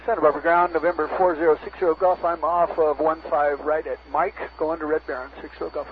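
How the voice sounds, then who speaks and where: A man's voice: on the high side, little pitch movement, fast talker. A man who is a pilot, making procedural calls and readbacks over aviation radio. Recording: muffled aviation radio, clear static.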